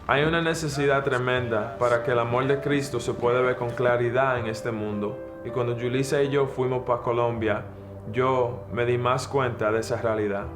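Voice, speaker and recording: A man's voice: mid-range voice, steady tone, normal speed, music in the background. A young man from the Bronx with a New York Dominican accent, giving a personal testimony. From the Bronx, United States. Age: young adult. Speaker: student and missionary volunteer.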